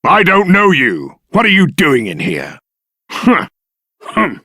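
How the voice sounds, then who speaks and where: A man's voice: fairly high pitch, huge pitch swings, slow pace, bright, forceful tone, dry recording, clean studio sound, full character voice. Stern, swooping-voiced haughty guardsman. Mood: disdainful, impatient. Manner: stern, disdainful. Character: haughty, impatient Templar guard. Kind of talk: video game character acting.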